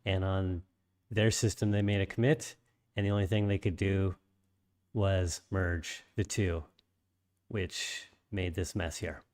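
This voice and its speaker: A man with a low voice that is slightly raspy after an illness talks through a coding tutorial. He explains step by step with frequent ums and restarts. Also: little pitch movement, slow pace, a little mellow. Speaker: programming instructor. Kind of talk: screen-share coding tutorial.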